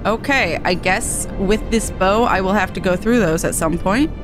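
A woman's voice: mid-range voice, moderate pace. A woman streaming a video game, chatting casually with viewers and reading game text aloud. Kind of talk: livestream gameplay chat and reading game dialogue aloud. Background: game music.

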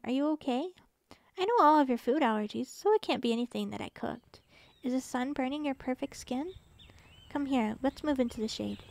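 A sugary, doting young girlfriend with a clingy, possessive edge, played by a woman. Her voice sits high and sweet, drifting into soft close whispers, recorded dry and near the mic for ASMR. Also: vocal fry, no room sound. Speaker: ASMR voice actress. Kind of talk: ASMR roleplay.

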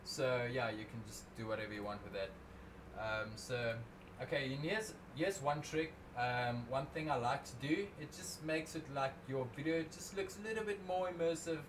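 A man's voice: mid-range voice, normal speed. A young man from Africa, an Afrikaans speaker, talking through a video-editing tutorial on a casual livestream, rambling and correcting himself as he goes. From South Africa. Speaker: drone video creator. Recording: noticeable background noise.

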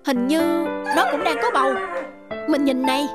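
A woman playing a kind young village wife in a cartoon, gentle and homely. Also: sits high, steady tone, normal speed, over loud music, lightly acted. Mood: kindly. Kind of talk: cartoon voice acting.

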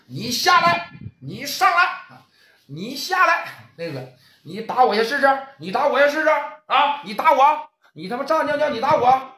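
A man doing a cocky gang boss, shrill strained yelling, cursing, taunting. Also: big pitch swings, moderate pace, reverberant, exaggerated voice. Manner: yelling, crude swearing. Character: brash hot-headed male gang boss, shouting and cursing.

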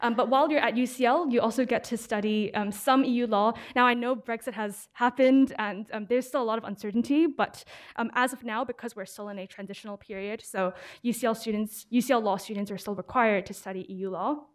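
A young woman from Hong Kong speaks in a fairly high voice as a student presenter. She explains things in an informative way to an audience, pausing often with ums as she goes. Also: steady tone. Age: 20s. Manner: informative, hesitant with frequent um pauses. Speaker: law student speaker at an admissions talk. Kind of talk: semi-prepared presentation to an audience. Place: lecture hall, indoors.

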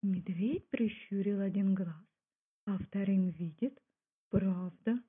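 A woman with a husky voice giving a scripted storytelling narration. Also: takes it slowly. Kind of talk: scripted folk tale and documentary narration. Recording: muffled, low-fidelity phone-like sound.

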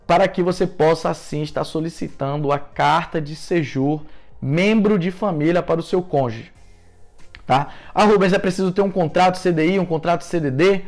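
A man's voice: fairly high pitch, a little mellow. A man in his thirties with a Brazilian Portuguese accent, earnestly giving immigration advice to camera from his living room on a lapel mic. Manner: earnest, explanatory, gestures a lot with his hands. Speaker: immigration vlogger. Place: living room at home, indoors.

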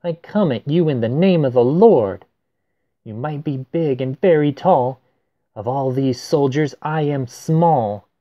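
A man's voice: mid-range voice, measured pace, lo-fi sound, voice pushed up, close to an everyday voice. A man voicing a brave, earnest young shepherd boy who declaims boldly in rhyme, for a storybook. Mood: resolute.

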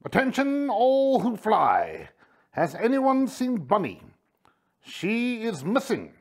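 A woman's voice: on the high side, huge pitch swings, takes it slowly, heavily acted. A woman voicing a nervous, bossy male garden bird in a children's story, given to bellowing pompous announcements. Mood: announcing.

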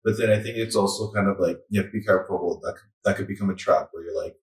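Deep, fast-talking man. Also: steady tone, far from the mic. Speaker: podcast guest. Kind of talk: casual chat. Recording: echoey room, off-mic.

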